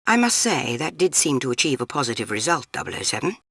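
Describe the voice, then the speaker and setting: An actress playing a stern older British spy chief in a video game, clipped, commanding and dryly disapproving. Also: female voice, very deep voice, sing-song, quick pace, creaky, a bit bright, husky, exaggerated voice.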